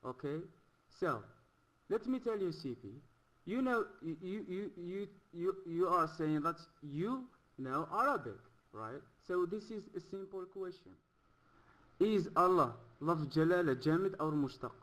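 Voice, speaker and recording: A man's voice: sits high, expressive, unhurried, fairly bright tone, poor audio. A man calling into a debate, challenging the host with stammering restarts. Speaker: caller. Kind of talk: argumentative debate reply.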